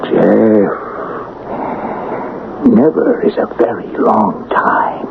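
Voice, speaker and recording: A man's voice: lowish voice, huge pitch swings, extremely slow, creaky, full character voice. An actor playing a persuasive, calculating small-town man in a radio drama, insistent and coaxing in delivery. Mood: coldly coaxing. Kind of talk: 1970s radio drama acting. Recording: tinny, noisy old radio broadcast.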